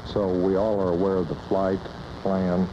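An American man, an air traffic controller, explaining his work as an interview guest in a measured, matter-of-fact way. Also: low-pitched, flat delivery. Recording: muffled 1980s AM radio cassette, loud noise.

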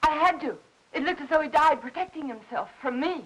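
High-voiced, fragile American housewife. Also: female voice, a bit bright, rough recording. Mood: anguished. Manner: anxious, halting. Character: frightened, guilt-ridden housewife, fragile and overwrought. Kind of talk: 1950s Hollywood melodrama acting.

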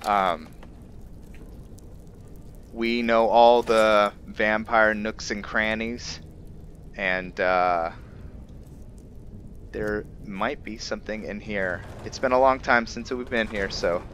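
A man giving casual, halting, explanatory commentary as he hosts a gameplay video. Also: fairly low pitch, steady tone, fairly bright tone, a little hiss or hum. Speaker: gaming video host. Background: video game ambience.

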